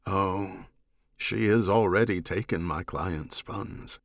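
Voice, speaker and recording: Man as a cool, measured alien enforcer. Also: deep voice, no room sound, studio quality. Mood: unruffled. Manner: measured, formal, unhurried. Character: cool, evasive alien oath-bound bounty hunter, quietly defiant. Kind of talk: audiobook character voice, science fiction.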